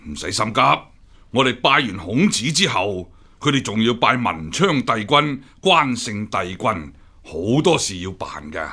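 A seasoned qing chief examiner, hong kong cantonese, bright crisp-edged voice, lively pitch, courteous, radio drama. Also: male voice, a little slow, heavily acted. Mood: calm.

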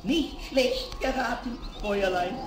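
High-pitched little devil. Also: male voice, unhurried, reverberant, over music. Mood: sly, boastful. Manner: theatrical, gloating. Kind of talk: 1960s German fairy-tale radio play acting.

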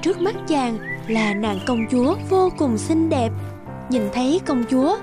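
High-voiced woman narrating a fairy tale. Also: lively intonation, a little slow. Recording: prominent music bed behind the voice.

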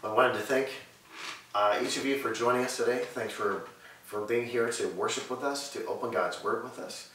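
A man in his thirties preaching and praying earnestly from a sofa in a living room, measured and repetitive in his phrasing. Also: mid-range voice, a bit bright, recorded from a distance. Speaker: pastor. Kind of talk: sermon and prayer. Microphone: far camera mic. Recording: echoey room audio with faint hiss.

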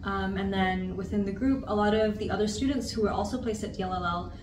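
A composed young woman speaks fluently about her teaching placement. Her voice sits at a middle pitch. She is heard from a distance in a roomy space with clear background hiss. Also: fairly even intonation, quick pace. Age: 20s. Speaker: TESL practicum student. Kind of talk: interview answers. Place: plain studio room, standing.

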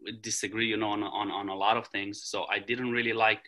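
A man's voice: lowish voice, flat delivery, bright, forceful tone. A man in his forties from Romania with a Romanian accent, a tennis coach talking casually on a video call, full of ums and restarts. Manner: halting, many ums and restarts. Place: small room at home. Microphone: video call, laptop camera.

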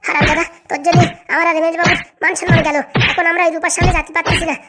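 Woman as a high, rapid-fire hot-headed henchman. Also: flat delivery, loud music behind the voice. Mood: furious, blaming. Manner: aggressive, blaming. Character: hot-headed, accusing male henchman of a politician. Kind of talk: comic cartoon dialogue.

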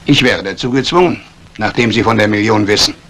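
An actor playing a scheming embezzler in a 1950s radio crime drama, calculating and menacingly polite. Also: male voice, lowish voice, brisk, fairly bright tone, slight background noise. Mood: quietly threatening. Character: cold, scheming embezzling banker plotting murder.

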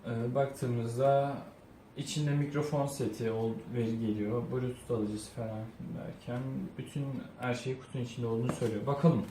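A man's voice: mid-range voice, fairly even intonation, normal speed, warm tone. A man giving a casual unboxing review, thinking aloud in an informal way. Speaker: product reviewer. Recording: roomy echo, faint background noise.

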